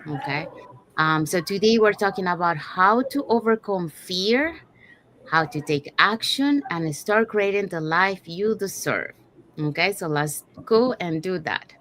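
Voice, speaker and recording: A woman's voice: fairly low pitch, animated, unhurried, a bit bright, slight background noise, poor audio. A woman, hosting a casual live online talk. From Panama. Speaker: host, digital business owner. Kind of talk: casual live webinar presenting.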